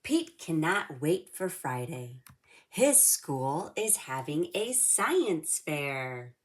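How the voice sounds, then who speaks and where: A woman reading a children's storybook aloud in a measured, simple way for young listeners. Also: low-pitched, sing-song.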